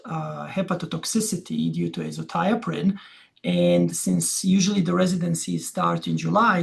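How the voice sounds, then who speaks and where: Middle-aged male academic, Croatian accent, heavy vocal fry, conversational and hesitant delivery for an informal talk. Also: on the high side. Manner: halting, conversational, often checks with "you know" and "yeah". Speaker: veterinary dermatology researcher giving a talk. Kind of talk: informal slide presentation.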